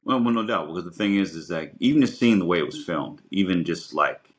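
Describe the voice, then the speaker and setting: A man's voice: low-pitched, expressive, a little fast. A man co-hosting a podcast, giving casual film commentary in a discursive, conversational manner. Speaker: podcast co-host.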